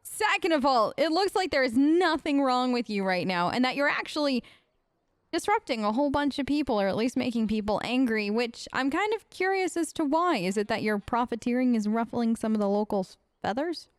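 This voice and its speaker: A woman's voice: high-pitched, expressive, brisk, smooth, no room sound, studio quality. A woman hosting a role-play game let's play, talking casually in a sassy, rambling way. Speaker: gaming host, role-playing her character.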